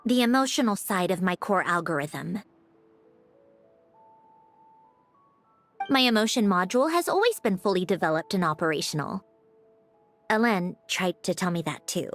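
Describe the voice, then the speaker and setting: A woman's voice: mid-range voice, expressive, normal speed, faint music behind, close to an everyday voice. A woman playing a melancholy, guarded young woman in a video game, wry and resigned, with sighs and a weariness of loss. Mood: dry, guarded. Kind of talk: dramatic video game voice acting.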